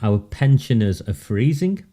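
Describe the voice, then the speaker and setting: A man's voice: medium pitch, animated, a little slow, warm tone. A man hosting a live-streamed political monologue, sardonic and emphatic, often laughing at his own points and repeating words for emphasis. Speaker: political commentary host.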